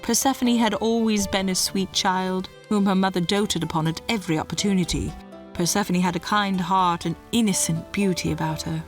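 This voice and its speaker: A woman giving a scripted storytelling narration in a measured, dramatic way. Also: lowish voice, steady tone, soft background music.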